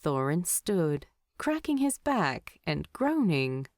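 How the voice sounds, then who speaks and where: Woman, story narrator, slow pace, lively intonation, creaky edge, scripted fiction. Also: low voice, no room sound, studio quality.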